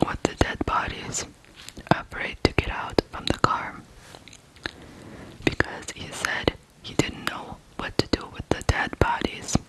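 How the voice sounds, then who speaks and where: An ASMR host from Ukraine narrating true crime in a hushed, deliberate way, pausing between short phrases. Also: low-quality recording.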